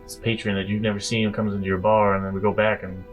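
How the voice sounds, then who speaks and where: Low male voice, tabletop player, improvised roleplay, tentative, repeated words, faint background music. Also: little pitch movement, fast, low-quality recording.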